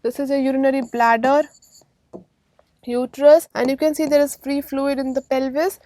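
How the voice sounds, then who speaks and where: Female medical lecturer, Indian accent, high voice, emphatic didactic delivery, close dry sound. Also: steady tone, moderate pace, warm tone, no room sound. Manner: didactic, emphatic repetition, frequent check-in questions. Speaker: radiology lecturer. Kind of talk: medical exam-prep lecture.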